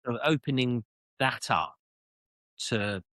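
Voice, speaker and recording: A middle-aged British man telling his own story as an interview guest, reflective and halting, often repeating words as he searches for phrasing. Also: mid-range voice, a bit bright, dry recording. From United Kingdom. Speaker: interview guest, author and former army officer.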